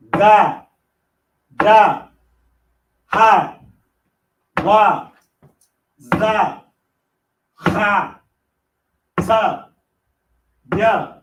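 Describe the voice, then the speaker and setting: A man's voice: sits high, far from the mic, slight background noise. A man teaching a class in a casual, conversational way who keeps saying "you know what I'm saying" and often restarts his sentences. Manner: chants letter names loudly.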